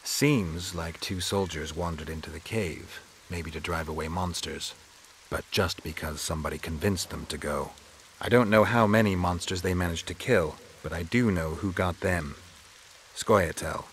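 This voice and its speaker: An actor playing a gruff, stoic male monster hunter for hire in a video game. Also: low-pitched, normal speed, slight background noise, close to an everyday voice. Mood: stoic, matter-of-fact.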